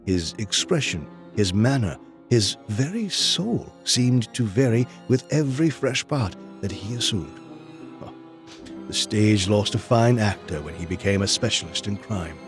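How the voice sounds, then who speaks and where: An earnest gentleman companion, telling a detective story in an audio drama. The voice is on the low side and measured, loyal in tone, with soft music underneath. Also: expressive. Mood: reflective, measured. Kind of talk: audio drama narration and dialogue.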